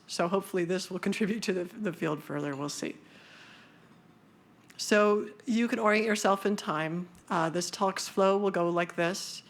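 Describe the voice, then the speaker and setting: Casual woman presenter at a science conference, loose and joking, lower register. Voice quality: high-pitched voice. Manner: casual, self-deprecating, many fillers. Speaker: conference speaker.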